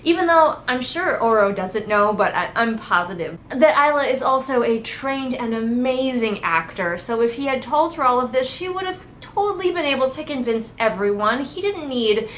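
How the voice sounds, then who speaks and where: A woman's voice: on the high side, expressive, normal speed, hardly any pauses, fairly bright tone, reverberant, a little hiss or hum. A woman giving casual, comedic book commentary as a host, in a sarcastic, sweary, conversational manner. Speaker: book critic and host of a readalong.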